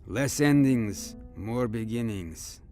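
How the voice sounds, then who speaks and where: A jovial veteran starship captain in a sci-fi audio drama, acted by a man. He is an older man with a creaky, mid-pitched voice and wry, theatrical bluster. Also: slow, a little mellow, soft background music. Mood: gently consoling. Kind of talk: science fiction audio drama acting.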